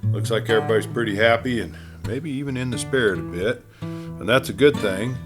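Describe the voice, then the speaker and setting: A man narrating a Western tale as a folksy, unhurried campfire storyteller and host. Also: fairly low pitch, music in the background. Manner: folksy, unhurried asides to listeners.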